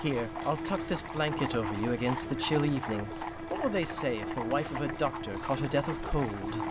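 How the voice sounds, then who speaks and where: An actor playing a devoted, gallant Victorian doctor in a radio drama, with a theatrical, commanding manner. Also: male voice, mid-range voice, expressive, fairly quick, lightly acted. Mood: tender, wistful. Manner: theatrical, urgent. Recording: loud dramatic music bed. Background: music.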